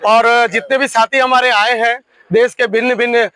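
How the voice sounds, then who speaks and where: Hoarse man addressing a rally. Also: extremely high pitch, flat delivery, normal speed, bright, forceful tone. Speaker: protest organizer. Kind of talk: rally speech thanking supporters.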